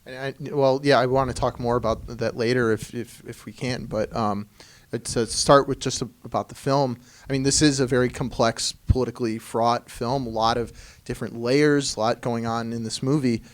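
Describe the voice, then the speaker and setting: A man's voice: mid-range voice, steady tone, normal speed. A man interviewing filmmakers, asking loose, rambling questions full of fillers. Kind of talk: press interview.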